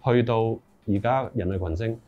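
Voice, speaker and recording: Middle-aged man, Hong Kong accent, lowish voice, informal guest chatting with English words mixed in. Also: normal speed, dull audio, faint music behind. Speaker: lyricist, interview guest. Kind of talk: casual interview chat. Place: small room indoors, seated group.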